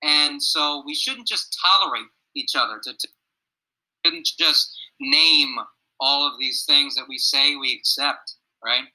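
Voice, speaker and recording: Slow, earnest young male teacher. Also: a little high, expressive, sharp tone, rough recording. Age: young adult. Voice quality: gruff. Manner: earnest, reflective, asks students questions. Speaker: middle school teacher. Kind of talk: classroom discussion on a video call, personal story. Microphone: video call.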